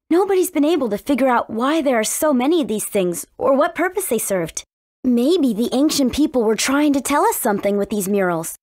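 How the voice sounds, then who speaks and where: A woman with an American accent playing a spirited, earnest teenage warrior princess in an English video game, with expressive, emphatic delivery. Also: sits high, no room sound, clean studio sound. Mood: thoughtful, explaining.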